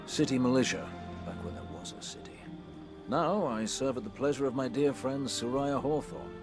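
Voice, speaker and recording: An actor playing a courteous, wry older militia sniper with a British accent, speaking in droll, old-fashioned phrases for a video game. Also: male voice, mid-range voice, normal speed, over music, natural voice. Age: middle-aged. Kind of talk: video game character acting.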